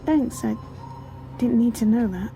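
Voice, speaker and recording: A woman's voice: fairly high pitch, unhurried, creaky, dark tone, faint music behind, poor audio. A young woman playing a sensible, teasing college student in an amateur audio drama.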